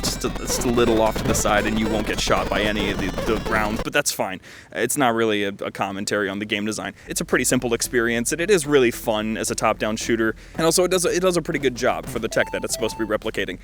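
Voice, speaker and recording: A man giving casual, rambling video commentary as he reviews games, with dry joking asides and frequent self-interruptions. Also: mid-range voice. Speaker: video creator reviewing fan-made games.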